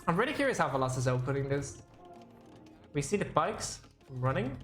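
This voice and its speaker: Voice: mid-range voice, big pitch swings, moderate pace, fairly bright tone, roomy sound, soft background music. A game caster giving casual live commentary and chatting with viewers, rambling, thinking aloud and often self-correcting.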